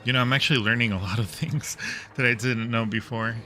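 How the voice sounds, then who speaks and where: A man's voice: medium pitch, fairly even intonation, fairly quick, pushed and bright, soft background music. A man hosting a livestream, chatting casually with viewers while playing a game. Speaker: livestream host. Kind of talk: casual livestream gaming chat.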